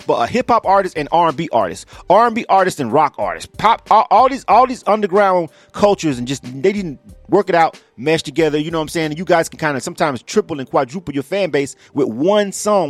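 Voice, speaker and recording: A man hosting a radio interview, talking fast and animated with slang and frequent laughs. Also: fairly high pitch, no echo, faint music behind. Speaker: radio show host. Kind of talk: casual radio interview chat.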